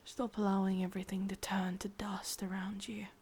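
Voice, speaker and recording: A woman with an English accent playing a sadistic, possessive witch in a whispered, breathy ASMR roleplay, commanding yet doting, with deliberate, intimate delivery. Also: mid-range voice, level tone, a little mellow, a little hiss or hum, close to an everyday voice. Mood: stern, commanding.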